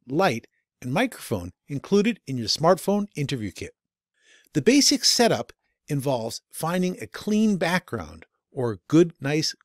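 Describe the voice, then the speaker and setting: A man's voice: fairly high pitch, no room sound, clean studio sound. A man narrating a scripted instructional video as the instructor.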